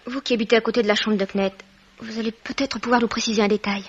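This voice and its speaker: A woman's voice: a little high, steady tone, fast talker, close to an everyday voice. An actress playing an eager, inquisitive young woman sleuth in a French radio mystery, always alert. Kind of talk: French 1950s-style radio mystery acting. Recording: dull, noisy vintage radio-drama recording.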